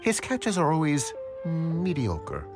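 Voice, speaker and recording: Very animated, affable fishmonger. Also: male voice, on the high side, slow pace, exaggerated voice. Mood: frank, helpful. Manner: polite, expressive, musing. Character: affable, chatty male fishmonger at a wharf. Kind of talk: video game voice acting. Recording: background music.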